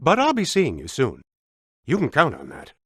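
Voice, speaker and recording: A man's voice: on the high side, huge pitch swings, a bit bright, husky, no echo, studio quality, exaggerated voice. An actor playing an officious, indignant male city bureaucrat in a video game, pompous and precise in his delivery. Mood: smug, stern. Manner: pompous, clipped, deliberate enunciation.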